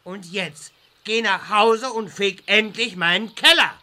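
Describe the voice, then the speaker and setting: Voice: mid-range voice, unhurried, bright, forceful tone, a little hiss or hum. An actor playing a snide, bullying master wizard who rants with theatrical sarcasm in a fantasy parody audio drama. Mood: scornful. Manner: sarcastic, scornful, theatrical ranting.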